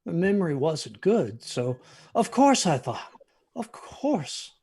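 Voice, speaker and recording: A haunted grandfather tells a dark tale in a fairly high, husky voice. He speaks haltingly and intensely, like someone on stage reliving his youth. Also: very animated, heavily acted. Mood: haunted, tense. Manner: halting, intense, repeats himself. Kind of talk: dramatic storytelling.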